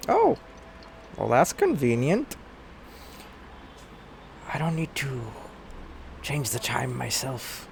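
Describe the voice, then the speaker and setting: A woman's voice: a bass voice, wildly swooping pitch, slow, gravelly, pauses often, a little mellow, a little hiss or hum. A woman streaming a game, reacting casually with loose, playful muttering.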